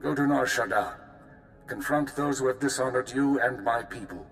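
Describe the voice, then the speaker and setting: A man's voice: mid-range voice, moderate pace, a bit bright, soft background music, lightly acted. A man voicing a stern, honour-bound old warrior in a video game, solemn and formal in his speech.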